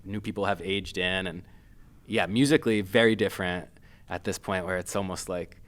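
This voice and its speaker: Deep-voiced guy from massachusetts, filmmaker chatting in an interview, lots of um and uh. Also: moderate pace. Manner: hesitant, many fillers and restarts. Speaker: guest filmmaker. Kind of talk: casual interview.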